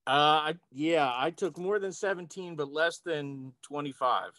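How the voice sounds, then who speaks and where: A man's voice: on the high side, unhurried, sharp tone, no echo, clean studio sound. A man playing a tabletop role-playing game, bantering casually about rules and rolls.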